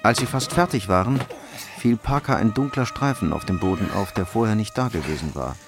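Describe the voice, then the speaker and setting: A sober narrator tells a crime story for radio. His voice is low, with music clearly audible behind it. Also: expressive, brisk. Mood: sober, factual.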